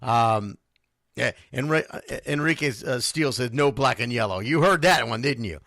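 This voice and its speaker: Animated male radio host, lively pitch. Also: moderate pace, dry recording, clean studio sound. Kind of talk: casual live sports radio talk with read sponsor spots.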